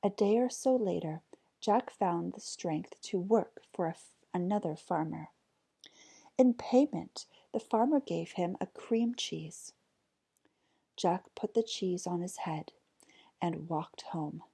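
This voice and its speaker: A woman reading a folk tale aloud as an expressive storyteller. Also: on the low side, slow pace, vocal fry, husky.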